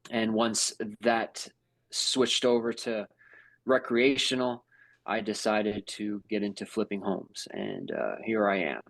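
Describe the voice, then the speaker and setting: A laid-back man in his thirties, a native Portuguese speaker raised in New York, talking casually as a podcast guest. His voice sits low and gravelly, full of fillers and "bro". It is recorded dry and close, with no room sound. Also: lively intonation, takes it slowly, fairly bright tone, dry recording, low-quality recording. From Brazil, raised in New York. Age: 30s. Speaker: guest, house flipper.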